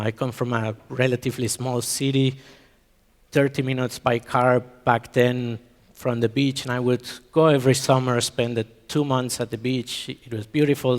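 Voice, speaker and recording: Hesitant man speaking in a reverberant hall. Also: medium pitch. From Mexico. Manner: hesitant, many ums and restarts. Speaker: conference speaker. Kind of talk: conference talk. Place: conference hall.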